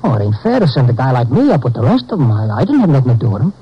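A scared small-time American crook in an old radio play. He talks fast in a husky voice, stammering and pleading, with bad grammar. The sound is muffled like a 1950s broadcast. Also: mid-range voice, wildly swooping pitch, soft background music, full character voice. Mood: anxious, pleading. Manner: stammering, halting, ungrammatical. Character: nervous, pleading small-time male convict informant.

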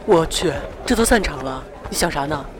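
A man's voice: on the high side, lively intonation, a little fast, background noise, natural voice. A man playing a brash, chummy young student roommate in an audio drama, talking in slang. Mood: breezy. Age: young adult. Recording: loud music and crowd bed.

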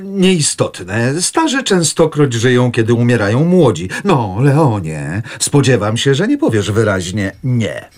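An actor playing a pompous, meddling newspaper editor who lectures and gives advice in a radio play. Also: male voice, medium pitch, sing-song, unhurried, hardly any pauses, darkish tone. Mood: lecturing. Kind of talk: radio drama acting.

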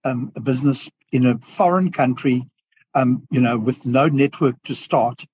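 A South African man speaking earnestly as a podcast guest, pausing and restarting as he thinks aloud. His voice is husky and sits in a middle register. The sound is dry and a little dull. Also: mid-range voice, darkish tone, dry recording. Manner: earnest, reflective, halting with restarts. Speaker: guest business owner. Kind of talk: podcast interview.

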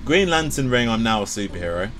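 A man's voice: mid-range voice, sing-song, fast, fairly bright tone, faint music behind. A man hosting a podcast, joking around in casual chat. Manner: playful, jokey, laughs often. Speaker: podcast host.

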